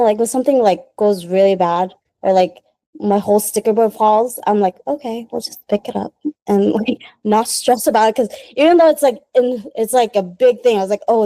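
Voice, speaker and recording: A woman's voice: mid-range voice, mellow, no echo. A woman who grew up in the Philippines, answering interview questions in a rambling, casual way with many "like"s and frequent laughter. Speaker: guest, small business founder.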